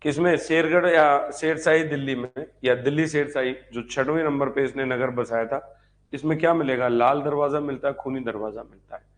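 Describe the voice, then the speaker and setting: Male exam-prep history lecturer, medium pitch, dictates notes didactically and restates each point with quick questions. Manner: didactic, repeats points and asks rhetorical check questions. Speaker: history teacher for exam preparation.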